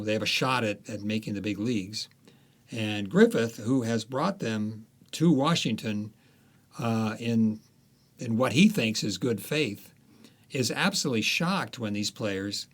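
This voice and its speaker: Low-voiced man telling history in an interview. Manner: storytelling, many pauses and fillers. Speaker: author guest. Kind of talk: interview, recounting history and plot.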